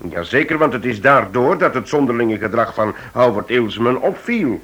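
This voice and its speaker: A man's voice: mid-range voice, sing-song, moderate pace, fairly bright tone, slightly rough. A man playing a composed senior investigator in a radio play, explaining things formally. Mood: matter-of-fact.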